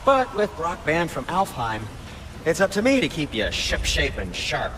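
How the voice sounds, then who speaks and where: A man's voice: a little high, huge pitch swings, normal speed, a bit bright, a little hiss or hum. An actor playing a fussy, squeamish, nervously polite travelling craftsman in a video game, rambling and hesitating as he goes. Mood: fussy, cheerful. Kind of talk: video game cutscene acting. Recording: music behind the voice.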